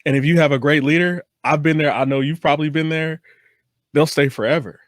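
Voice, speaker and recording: A man's voice: a little high, fairly even intonation, brisk, no room sound, studio quality. A young adult man hosting a casual interview, affirming and reflective, feeling his way into questions. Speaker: podcast host, interviewer.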